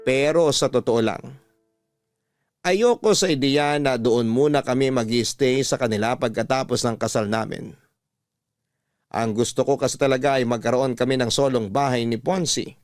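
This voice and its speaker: A man's voice: medium pitch, normal speed, dry recording, studio quality, faint music behind. A man with a Filipino accent reading a scripted first-person love story as a radio-style narrator.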